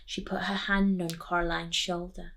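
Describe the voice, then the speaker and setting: A woman teacher reading a children's story aloud expressively, deliberately and with dramatic pauses. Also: on the low side, flat delivery, gravelly, a bit bright.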